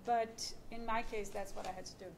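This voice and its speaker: Brazilian woman panelist, native Portuguese speaker, lightly high pitch, animated conversational storytelling, faint noise behind. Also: fairly quick, vocal fry. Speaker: panelist, journalist. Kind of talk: casual personal storytelling at a panel discussion.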